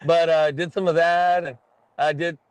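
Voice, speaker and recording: A man's voice: fairly high pitch, level tone, moderate pace, clear, resonant tone, no echo, poor audio. A middle-aged man from Texas, chatting casually as a guest musician and telling rambling, self-deprecating stories. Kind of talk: casual podcast chat.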